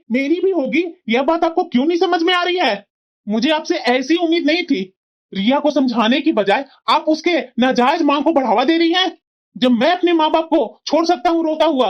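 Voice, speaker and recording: A woman plays a young cartoon husband who lays down the law to his wife and her family. The voice is fairly high for him, firm and lecturing, and the recording is dull and lo-fi. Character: earnest young husband and dutiful son. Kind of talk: cartoon voice acting.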